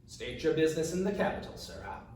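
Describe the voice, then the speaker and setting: A man's voice: a little high, very animated, quick pace, darkish tone. Male author reading a seasoned guard sergeant in a fantasy story, clipped formal courtesy, distant and very reverberant. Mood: stern challenge. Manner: clear, businesslike, formal. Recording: distant mic, very echoey room, faint noise.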